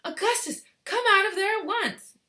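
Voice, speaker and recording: A woman's voice: very high-pitched, moderate pace, bright, forceful tone, pitched above the performer's own voice. A woman voicing a panicky, bossy mother who screams and snaps indignantly in a children's storybook read-aloud. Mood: frantic demanding.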